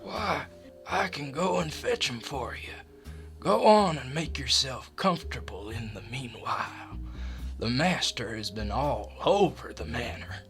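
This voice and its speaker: A servile, nervous butler acted by a man, formal and halting, who takes his words very slowly. Music plays clearly behind him in this radio drama. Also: medium pitch, expressive, a bit bright. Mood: obliging. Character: deferential, nervous manor butler. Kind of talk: audio drama acting.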